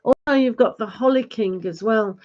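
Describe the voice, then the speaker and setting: A woman giving a live tarot reading, advising gently with many fillers, her voice going hoarse. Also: on the high side, normal speed, muffled recording. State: losing her voice, clearing throat. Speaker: tarot reader.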